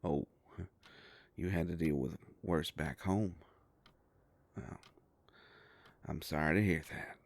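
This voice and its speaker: Man acting as male werewolf detective, extremely low pitch, gruff, protective, folksy, unhurried, close dry sound, audio roleplay. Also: dry recording, full character voice. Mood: concerned, gentle. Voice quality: deep, gravelly. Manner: folksy, halting, gently teasing. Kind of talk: scripted roleplay audio drama, intimate.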